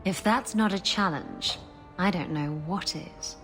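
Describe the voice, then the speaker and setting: A woman's voice: on the low side, unhurried, fairly bright tone, soft background music. An actress playing a sultry, guarded pirate woman in a video game, teasing and flippant. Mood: flirtatious.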